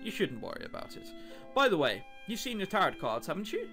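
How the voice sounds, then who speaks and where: A man voicing a calm, mysterious, evasive girl companion in a visual novel, soft-spoken and enigmatic. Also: high-pitched, fast, faint music behind, exaggerated voice. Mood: calm, coaxing. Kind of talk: visual novel dialogue read aloud.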